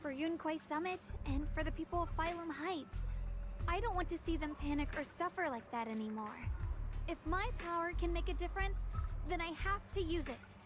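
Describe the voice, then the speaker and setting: A young swordswoman from an anime-style game with an extremely high voice. She is earnest, polite and gentle, and music is clearly heard behind her. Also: normal speed.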